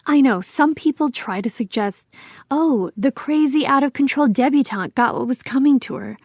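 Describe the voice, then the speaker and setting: A woman playing a missing woman's protective, bitter best friend, talking in a casual, halting, emphatic interview style. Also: sits high, darkish tone, no echo, studio quality. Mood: defensive, insistent. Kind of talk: acted documentary interview, conversational.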